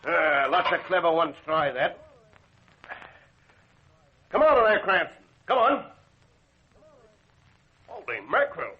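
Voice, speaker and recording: An actor playing a gruff, exasperated jail guard in an old Australian radio drama, blustering. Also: male voice, a little high, very animated, takes it slowly, pauses often. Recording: muffled, noisy vintage radio recording.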